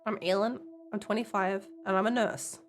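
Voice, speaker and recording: A woman's voice: mid-range voice, steady tone, normal speed, faint music behind. A young woman streaming a game, chatting casually, blunt and swearing, laughing often. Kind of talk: casual live-stream commentary.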